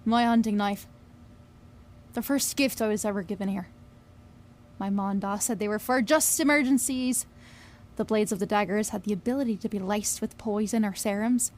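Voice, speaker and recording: A woman's voice: mid-range voice, normal speed, a little mellow, a little hiss or hum, lightly acted. An actress playing a brash, wistful young woman in an audio drama, confiding and wry, with plenty of swearing. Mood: wry, longing. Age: young adult. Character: Billie, brash, wistful young Scottish woman obsessed with fairies. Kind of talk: audio drama narration and dialogue.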